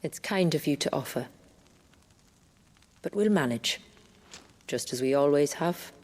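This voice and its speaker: An actress playing a calm, proud, protective warrior guardian in a fantasy game, speaking formally and deliberately. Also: female voice, low voice. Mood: guarded, dignified. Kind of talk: cinematic fantasy game acting.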